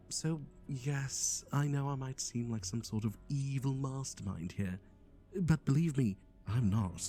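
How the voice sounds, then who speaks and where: A man's voice: on the low side, mellow. A man playing a chatty, nervously cheerful, shady matchmaker in an ASMR roleplay, with a theatrical, sardonic delivery and hesitant asides.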